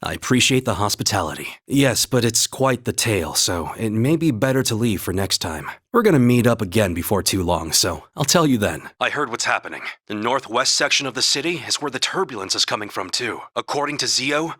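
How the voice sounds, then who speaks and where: A man playing an earnest young holy knight in a video game, with a husky voice and a measured, formal, noble delivery. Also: medium pitch, lively intonation, a bit bright, dry recording, studio quality. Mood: calm, earnest. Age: young adult.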